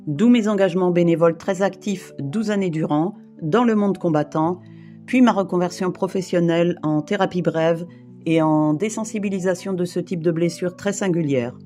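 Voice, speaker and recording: A woman in her fifties from the Paris region, reading a personal testimony in a measured, formal way. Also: on the low side, warm tone. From France, Île-de-France. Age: 50s. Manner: measured, formal, list-like delivery. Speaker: brief therapist and volunteer rescuer. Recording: guitar music behind.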